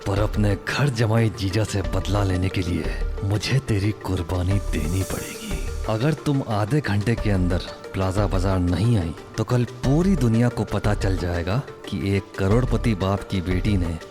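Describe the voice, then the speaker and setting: An actor playing a smug, spiteful rich cousin in an audio drama, menacing and mocking under a false gentleness. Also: male voice, fairly low pitch, unhurried, few pauses, close to an everyday voice. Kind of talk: Hindi audio drama acting. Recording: dramatic music behind the voice.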